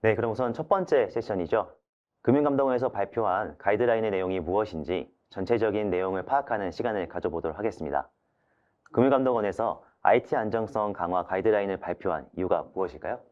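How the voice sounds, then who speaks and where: A man's voice: fairly low pitch, fast, lo-fi sound. A man hosting a webinar in a polite, formal, scripted way, summing up and posing questions. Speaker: webinar host, moderator. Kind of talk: scripted moderated webinar talk.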